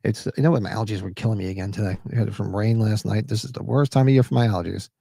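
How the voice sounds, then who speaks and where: A man co-hosting a live podcast, chatting loosely, joking and reading chat comments, a little hoarse. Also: lowish voice, fairly even intonation, very fast, mellow, no room sound, studio quality. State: losing his voice. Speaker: podcast co-host. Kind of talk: casual live-stream chat.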